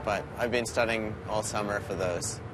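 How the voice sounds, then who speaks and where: A man's voice: on the low side, steady tone, moderate pace. A teenage boy, a guest answering interview questions in a plain, straightforward way. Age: teens. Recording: clear traffic noise.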